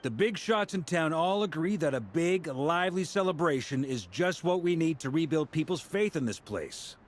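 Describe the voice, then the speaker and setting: A man's voice: a little high, expressive, normal speed, background noise, lightly acted. A man playing a jovial, laid-back grown leader in a video game, speaking in a breezy, drawling way. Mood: earnest. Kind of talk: animated game dialogue.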